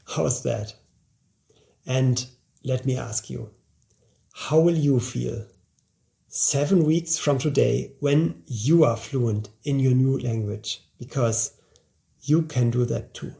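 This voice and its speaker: A man's voice: medium pitch, slow pace, creaky, long pauses, mellow. A man in his forties delivering a scripted promotional narration as a course presenter, speaking to the listener directly in a motivational way. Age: 40s.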